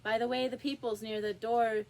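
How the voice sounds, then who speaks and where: Higher-voiced woman reading a storybook. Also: little pitch movement, moderate pace, vocal fry, darkish tone. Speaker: audiobook reader. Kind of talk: scripted storybook narration.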